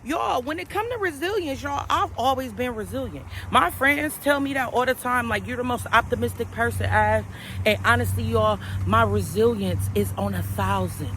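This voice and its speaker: Woman vlogger, high and bright, loud and slangy, swearing, shot outdoors on camera audio with faint traffic behind. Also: moderate pace. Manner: animated, slangy, swears freely, talks straight to viewers. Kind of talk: casual motivational vlog. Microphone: camera without microphone.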